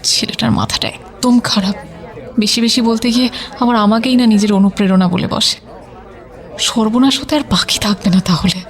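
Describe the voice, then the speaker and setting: A woman's voice: a little high, normal speed, close to an everyday voice. An actress playing a young woman in love, exasperated and anxious, chiding and pleading in a romantic audio drama. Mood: annoyed.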